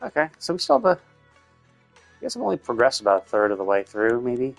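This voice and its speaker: A man's voice: mid-range voice, wildly swooping pitch, fast, gravelly, soft background music. A man giving casual livestream commentary on games, explaining in a rambling way and often rephrasing himself. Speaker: video game livestreamer.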